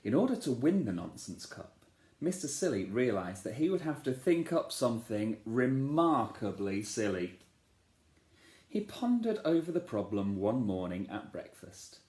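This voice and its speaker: Man reading a children's story, playful, very lively pitch, echoey room. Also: unhurried. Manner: playful, expressive, asks the listener questions.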